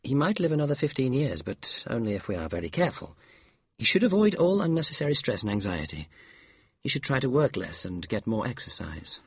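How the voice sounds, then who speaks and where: A man voicing a calm, honest young doctor with a brisk, measured bedside manner. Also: medium pitch, husky, no room sound, pitched above the performer's own voice.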